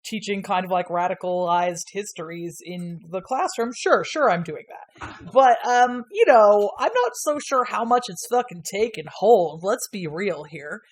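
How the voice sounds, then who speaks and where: A woman's voice: medium pitch, lively intonation, unhurried. A woman guest on a casual podcast, a history lecturer who rambles through stories with constant fillers, frequent laughs and casual swearing.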